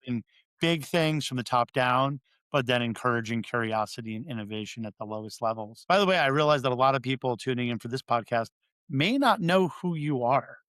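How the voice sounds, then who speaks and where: A man's voice: mid-range voice, lively intonation, fairly quick, fairly bright tone, no echo. Guy hosting a podcast, mid voice, chatty and jokey, dry mic. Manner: conversational, joking. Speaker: podcast host. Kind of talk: podcast interview.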